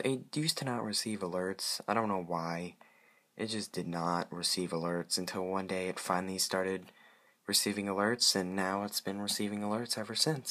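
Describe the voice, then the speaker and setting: A man presenting his hobby in a casual, unscripted show-and-tell, halting and correcting himself as he goes. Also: low voice, a bit bright. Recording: clearly noisy amateur home recording.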